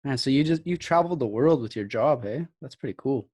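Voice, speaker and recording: A man's voice: medium pitch, animated, brisk, darkish tone, slightly rough. A man from Canada hosting a podcast as an informal, slangy chat. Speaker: podcast host. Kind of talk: casual chat.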